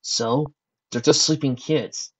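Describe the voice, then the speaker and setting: A man voicing a gruff adult stallion in a brash, loud manner, on a dull lo-fi recording. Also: medium pitch, steady tone, takes it slowly, pitched above the performer's own voice.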